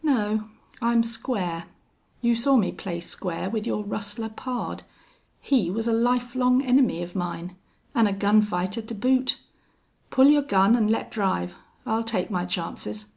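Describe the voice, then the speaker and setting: A woman's voice: medium pitch, a little slow, vocal fry. A woman voicing a cold, contemptuous older male gunfighter in a western audiobook, steely and taunting. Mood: cold challenge. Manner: strange monotone, taunting, cold. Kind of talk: audiobook character acting.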